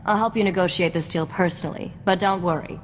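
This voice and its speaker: A woman's voice: on the low side, fast, long reverb tail, slight background noise, lightly acted. A woman playing a guarded, confident young smuggler's associate in a video game, controlled and matter-of-fact. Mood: cool, businesslike.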